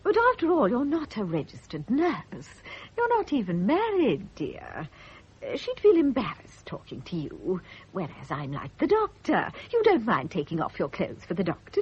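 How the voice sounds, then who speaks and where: A gossipy middle-aged nurse in a radio play, very husky and breathy, swooping wildly up and down as she insinuates and patronises. The old recording is dull and muffled. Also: mid-range voice, huge pitch swings, normal speed, full character voice. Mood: smug, patronising. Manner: insinuating, knowing, condescending. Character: gossipy, self-satisfied veteran private nurse, a woman. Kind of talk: radio drama acting.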